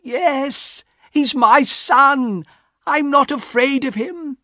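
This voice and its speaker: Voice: high voice, drawn-out words, bright, forceful tone, dry recording, studio quality, pitched above the performer's own voice, full character voice. A narrator voicing a grieving old mother in an audiobook, pleading urgently and insistently. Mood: insistent, desperate. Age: older. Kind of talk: audiobook character acting.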